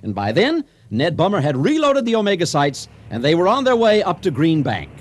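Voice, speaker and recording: An actor playing a theatrical narrator who addresses the audience in a comic radio drama. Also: male voice, on the high side, wildly swooping pitch, a little fast. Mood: animated storytelling. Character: theatrical, folksy male storyteller addressing an audience.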